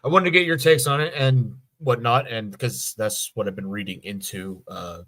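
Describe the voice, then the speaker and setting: A man's voice: mid-range voice, moderate pace, a bit bright. A man co-hosting a podcast, chatting casually and enthusiastically about shows in an agreeable way. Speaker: podcast co-host.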